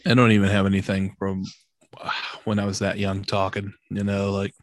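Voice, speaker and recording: A man's voice: lowish voice, monotone, a little fast, no echo. A man co-hosting a casual podcast, hesitant and full of fillers, laughing often, on a video call. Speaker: podcast co-host. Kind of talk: casual chat. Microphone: computer mic on video call.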